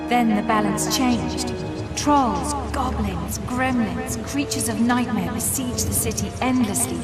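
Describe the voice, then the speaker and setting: A woman playing a gentle, brave young survivor of a magical people in a fantasy game, speaking solemnly and earnestly. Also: mid-range voice, lightly acted. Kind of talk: dramatic fantasy game dialogue and storytelling.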